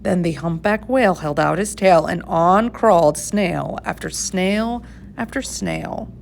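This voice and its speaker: A woman reading a rhyming picture book aloud to schoolchildren in a measured, singsong way with dramatic emphasis. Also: fairly low pitch, gravelly, a little hiss or hum. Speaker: storybook reader for schoolchildren.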